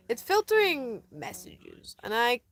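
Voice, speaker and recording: A woman from Colorado chatting casually on a live stream outdoors in the desert, rambling, laughing often and dropping into soft asides. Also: on the high side, vocal fry. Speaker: van-dwelling traveler streaming live.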